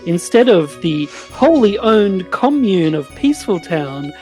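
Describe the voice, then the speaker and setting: A woman's voice: medium pitch, big pitch swings, measured pace, mellow, soft background music. A woman narrating a comic radio play.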